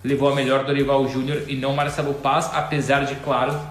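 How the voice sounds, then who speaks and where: A man's voice: mid-range voice, flat delivery, brisk, reverberant. A man giving football commentary as a pundit on a talk show. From Portugal.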